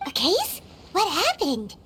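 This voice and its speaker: Excitable childlike fairy sidekick, squeaky, swooping video game delivery over loud music. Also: female voice, takes it slowly, heavily acted. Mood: eager. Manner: exclaiming, refers to self by name. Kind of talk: animated video game voice acting. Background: loud children's-style game music.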